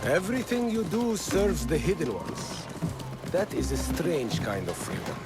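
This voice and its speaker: A man's voice: a little high, wildly swooping pitch, a little slow, loud music behind the voice. A man acting as a proud, defiant rebel leader in a video game, with a challenging way of speaking.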